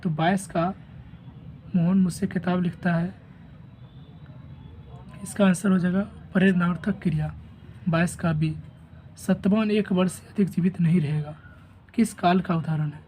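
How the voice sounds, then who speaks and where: A man with a sore, rough throat reads through an answer key at a steady, repetitive pace. His voice is dark and mellow, with a faint hiss of noise behind it. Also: high voice, unhurried, long pauses, poor audio. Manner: steady, repetitive, reads each question then gives the answer and option. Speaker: teacher going over an exam answer key. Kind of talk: reading out quiz answers.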